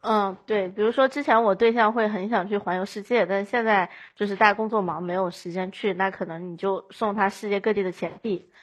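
A woman from Chongqing, China, chatting casually as a podcast guest in an animated storytelling way. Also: mid-range voice, a little fast, few pauses.

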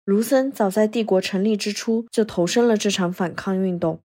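Bright, husky female podcast narrator. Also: mid-range voice, moderate pace. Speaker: podcast host. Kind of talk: scripted narration. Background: film score music.

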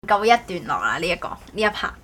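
A woman co-hosts a casual podcast, chatting haltingly and often correcting herself. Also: on the low side, very animated, loud music behind the voice. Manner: halting, repeats words, self-correcting. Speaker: podcast host. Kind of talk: casual co-hosted podcast chat.

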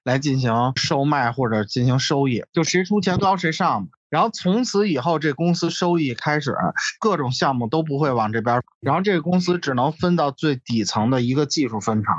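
A man's voice: fairly high pitch, lively intonation, brisk, hardly any pauses, no echo, lo-fi sound. A man chats casually as a podcast guest, telling stories in a blunt, sweary, mocking way. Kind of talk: casual chat.

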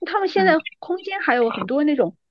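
A woman's voice: sits high, very animated, fast talker, muffled recording, slight background noise. A woman in her thirties talking as a podcast guest in a casual, reminiscing conversation. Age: 30s.

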